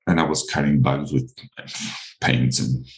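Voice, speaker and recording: Interview guest: greek-accented man, low deep voice, hesitant but eager, echoey room. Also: steady tone, a little slow, creaky. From Crete, Greece. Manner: hesitant, enthusiastic, many fillers and restarts. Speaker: guest artist. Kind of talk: casual interview chat.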